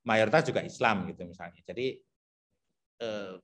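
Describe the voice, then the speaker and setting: A man with a thick Javanese accent explaining teacher competency criteria to colleagues, repeating himself and filling pauses as he thinks aloud. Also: lowish voice, a bit bright. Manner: repetitive, thinks aloud with many fillers.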